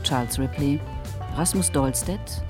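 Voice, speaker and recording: A woman's voice: very deep voice, measured pace, music in the background. A woman announcer reading scripted radio play credits.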